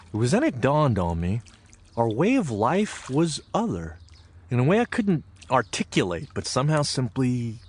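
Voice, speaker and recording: A man's voice: medium pitch, a little hiss or hum, lightly acted. An American actor playing an earnest, reflective Japanese American man recalling his farm childhood in a dramatic audio theatre monologue. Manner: expressive, deliberate storytelling with weighted pauses.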